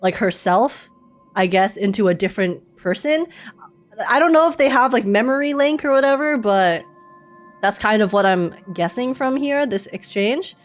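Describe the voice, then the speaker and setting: A woman's voice: a little high, lively intonation, normal speed. A woman streamer giving informal, giggly, casual reaction commentary on a video game. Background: game music.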